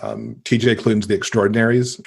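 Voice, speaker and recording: A man's voice: on the low side, steady tone, a little fast, vocal fry, breathy edge. A man, middle-aged, talking casually and enthusiastically as a guest in an interview about books. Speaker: podcast guest, avid reader.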